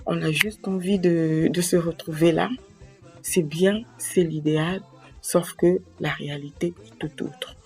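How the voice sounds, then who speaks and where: A woman from Congo-Brazzaville, talking to camera in a slow, earnest way to explain her advice and quoting proverbs. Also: fairly low pitch, fairly even intonation, breathy edge, soft background music. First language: a Congolese language. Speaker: association president giving advice.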